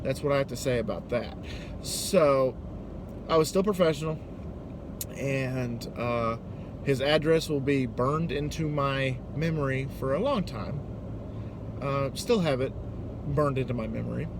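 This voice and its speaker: A man's voice: medium pitch, measured pace, long pauses. An American man casually telling a personal story, hesitant and sweary, still a bit worked up. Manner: hesitant, sweary, acting out dialogue. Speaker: storytelling vlogger and delivery driver. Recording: noisy, car-like ambient background noise.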